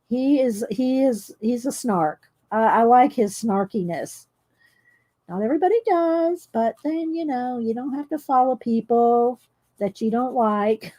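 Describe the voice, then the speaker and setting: A middle-aged woman with a loud voice that carries, chatting casually and rambling with frequent laughs while she colors for her viewers. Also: a little high. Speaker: coloring channel host.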